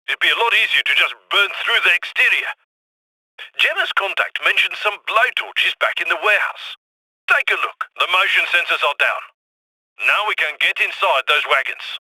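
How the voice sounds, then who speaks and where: A male heist planner in a video game, coming dry and close through a radio filter. His voice is high and very forceful. He gives instructions with wry encouragement, keeping his crew moving. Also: expressive, normal speed, husky, no echo, full character voice, poor audio. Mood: focused instruction. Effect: radio comms filter. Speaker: mission guide over radio. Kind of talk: video game voice acting, briefing.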